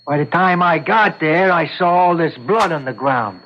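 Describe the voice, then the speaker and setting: A man's voice: a little high, animated, normal speed, slight background noise, close to an everyday voice. A man acting a talkative, stubborn eyewitness in his sixties, hesitant and folksy, in an old-time radio courtroom drama. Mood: earnest, halting. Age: 60s. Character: talkative sixty-seven-year-old male eyewitness, stubborn and plainspoken. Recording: dull lo-fi vintage radio recording.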